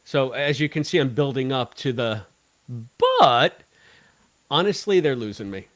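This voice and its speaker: A man co-hosting a casual podcast discussion, talking in a wordy, halting way and repeating phrases as he goes. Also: mid-range voice, animated, dry recording. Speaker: podcast co-host.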